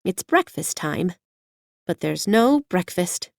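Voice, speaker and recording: A woman's voice: fairly low pitch, very animated, slow, gravelly, no echo, studio quality, full character voice. Woman playing a polite, wondering little girl. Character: polite curious little girl, castaway at sea.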